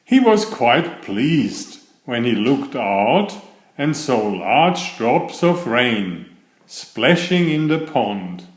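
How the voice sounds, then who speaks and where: A man narrates a children's story at a slow, deliberate pace, his pitch rising and falling with plenty of animation. The voice sits in a medium male register, with audible room echo around it. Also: very animated. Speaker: storyteller reading children's picture books. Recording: roomy echo on the voice.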